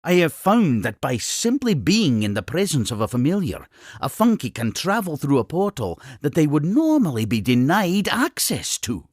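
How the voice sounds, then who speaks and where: Wildly animated Scottish cartoon inventor. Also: male voice, fairly high pitch, moderate pace, no room sound, clean studio sound. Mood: eager, boastful. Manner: grandiose, effusive, old-fashioned phrasing. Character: exuberant, eccentric Scottish explorer-inventor creature, proud and cunning. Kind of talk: theatrical cartoon game character dialogue.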